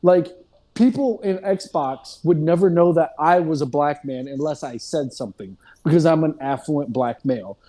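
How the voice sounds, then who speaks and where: A man's voice: on the high side, normal speed, dark tone. A man in a casual group discussion, talking in a loose, digressive way and often checking in with "you know what I mean".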